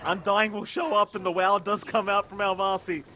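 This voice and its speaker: A man doing excitable play-by-play commentary on a video game match, teasing his co-caster and climbing in pitch at big plays. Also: normal speed. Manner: excitable, teasing, rises sharply in big moments. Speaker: esports caster.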